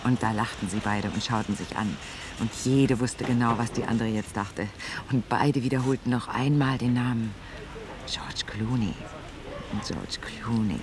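An actor narrating a radio drama in an observational, detailed storytelling way. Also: male voice, medium pitch, unhurried, lightly acted. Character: storytelling male narrator describing an airport worker's life. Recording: clear ambient noise behind.

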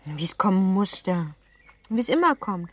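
A woman acting a lively, playful, well-born girl of seventeen in a German radio play, chatty and teasing. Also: medium pitch, unhurried. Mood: confiding. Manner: chatty, teasing, self-assured.

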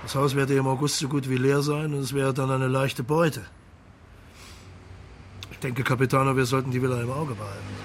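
An actor playing a polite, unhurried police marshal in a radio crime drama, courteous and measured in manner. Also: male voice, medium pitch, fairly even intonation, a little hiss or hum, lightly acted. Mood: serious, reporting. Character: polite, unhurried male police marshal, quietly persistent investigator.

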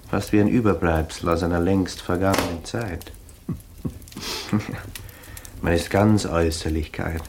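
An actor playing a cultivated young student in a German radio drama, quietly mocking and menacing, speaking formally and with deliberate insinuation. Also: male voice, low-pitched. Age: young adult. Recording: faintly noisy 1980s radio drama recording.

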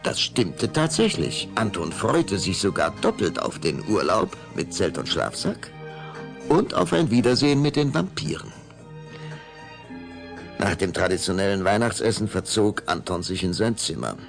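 A man narrating a scripted story dramatically, with measured phrasing and suspenseful pauses. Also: deep voice, sing-song, vocal fry, over music.

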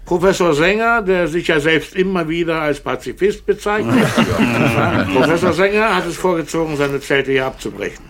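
An actor playing a self-assured German professor who chairs an engineers' meeting in a brisk, commanding, formal manner in a radio drama. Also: male voice, on the high side, lively intonation, a crowd in the background, lightly acted. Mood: placating, proud. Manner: commanding, orderly, addresses the room formally.

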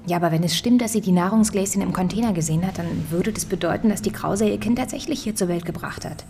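A woman's voice: medium pitch, fast talker, vocal fry, a little mellow, slight background noise, lightly acted. An actress playing an earnest, concerned female police detective in a radio drama, persistently questioning. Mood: earnest, reasoning. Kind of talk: German radio drama acting, naturalistic dialogue. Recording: music behind the dialogue.

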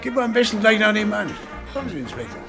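An actor playing an obliging roadhouse landlord in a radio crime drama, with a husky, gravelly voice. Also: male voice, high voice, big pitch swings, quick pace, loud music behind the voice. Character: obliging male roadhouse landlord under questioning.

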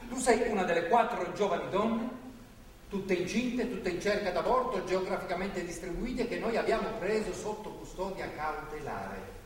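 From far off in a reverberant theatre hall comes a man acting as a zealous, controlling priest. His voice is notably high for a man, measured and paternalistic, preaching with fervour as in a stage play. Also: natural voice. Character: fervent, controlling anti-abortion priest holding a woman captive. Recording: echoey theatre hall, distant mic, faint noise.

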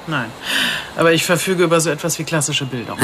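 A brisk, educated woman detective in a radio drama. She talks fast in a lowish voice, dry and businesslike, with noticeable noise around her. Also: a bit bright. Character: brisk, educated, dry-witted female police investigator.

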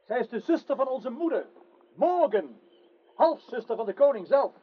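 A man's voice: very high voice, lively intonation, normal speed, breathy edge, exaggerated voice. A man playing a polite, deferential young prince and knight in a radio drama, speaking formally. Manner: formal, courtly. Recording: muffled old radio recording.

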